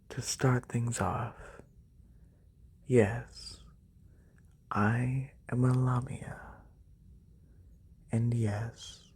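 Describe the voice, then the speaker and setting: A man playing a haughty, demanding lamia noble in a soft-spoken ASMR roleplay, formal and condescending, with a breathy, husky voice close to a binaural microphone. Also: medium pitch, full character voice. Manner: condescending, formal, drawn-out delivery. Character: haughty wealthy lamia noble, demanding serpent-bodied employer.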